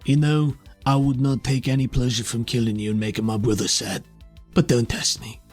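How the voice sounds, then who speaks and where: A man voicing a proud, bitter armoured villain in a tabletop game, taunting and threatening, with a slightly husky voice. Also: mid-range voice, little pitch movement, normal speed, a little mellow, lightly acted. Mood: cold threat. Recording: music behind the voice.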